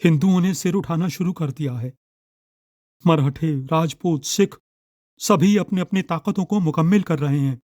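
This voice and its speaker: A man voicing a scheming, flattering royal vizier in an audiobook story, speaking in an urgent, courtly, deferential way. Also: on the high side, no room sound, studio quality, pitched above the performer's own voice, lightly acted.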